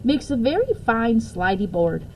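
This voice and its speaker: A woman reading a children's book aloud as a storyteller, expressively and deliberately, with occasional stumbles and self-corrections. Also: fairly high pitch, very animated, darkish tone, a little hiss or hum, poor audio. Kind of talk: read-aloud children's story with casual asides.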